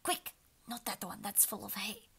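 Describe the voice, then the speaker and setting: A woman's voice: a little high, expressive, a little slow, fairly bright tone, pitched above the performer's own voice. A nervous storybook king, voiced by a woman, fussy and trembling. He fusses and mutters in a quivering voice, eager to avoid any trouble.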